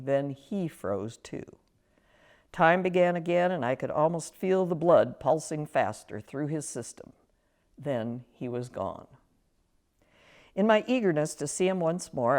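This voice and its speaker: A woman reading her own written personal story aloud, with expressive, deliberate storytelling phrasing. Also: low-pitched. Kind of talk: scripted personal story narration.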